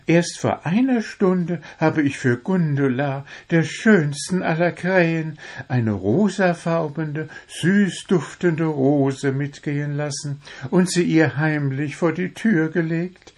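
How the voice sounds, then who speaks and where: A man's voice: on the high side, takes it slowly, voice pushed up. A man voicing a small, proud, cajoling blue bird with romantic airs in a storybook. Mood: confident, persuasive. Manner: confident, cajoling, unruffled. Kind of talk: storybook character voice.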